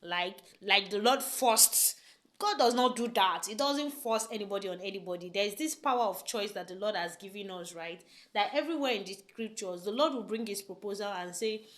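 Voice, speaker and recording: A woman's voice: mid-range voice, normal speed, a bit bright. Chatty woman vlogger, emphatic. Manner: chatty, emphatic, many rhetorical questions and "right?" tags. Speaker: vlogger giving relationship advice. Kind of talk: casual talk to camera.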